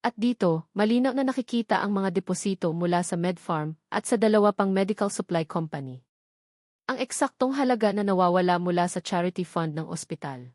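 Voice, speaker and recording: A Filipino woman voicing a calm, cold, commanding male hospital owner in a story, firm and measured. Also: lowish voice, dry recording, clean studio sound, natural voice. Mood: firm, accusing.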